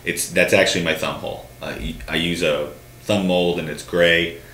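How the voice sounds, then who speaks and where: A man's voice: sits low, fairly even intonation, moderate pace, bright, forceful tone. A man in his thirties co-hosting a bowling talk show at a studio desk, explaining at length with lots of restarts and hand gestures. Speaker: bowling show co-host answering questions. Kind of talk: casual studio chat. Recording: roomy studio echo with faint hum.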